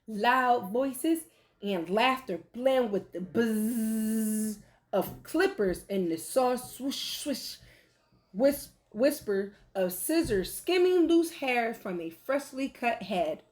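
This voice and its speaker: A woman reading a picture book aloud like a teacher, with animated, deliberate delivery and questions for young listeners. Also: fairly high pitch. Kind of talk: expressive children's read-aloud with questions to listeners.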